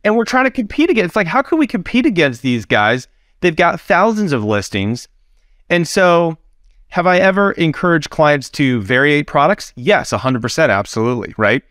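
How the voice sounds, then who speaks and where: A man working as a consultant on a business podcast, his voice rough and a bit deeper from a recent cold, speaking frankly and with animation. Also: very animated, normal speed, fairly bright tone, no echo, studio quality. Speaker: consultant, co-host. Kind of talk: casual business podcast chat.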